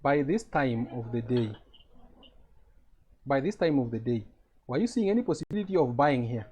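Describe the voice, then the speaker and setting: Husky Kenyan man teaching trading. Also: mid-range voice, expressive, normal speed, darkish tone. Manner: explains step by step, often checks if students follow. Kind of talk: live trading lesson on a call.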